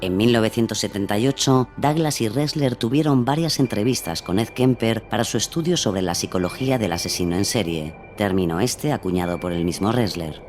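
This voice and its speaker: A man's voice: fairly low pitch, expressive, a little fast, few pauses, a little mellow, faint music behind, natural voice. A man narrating a true-crime radio drama as a scripted documentary account.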